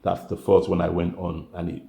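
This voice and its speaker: A man's voice: low voice, monotone, normal speed, creaky, dark tone, roomy sound. An older man, a retiree vlogger, chatting casually to camera, rambling and correcting himself as he goes. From United Kingdom.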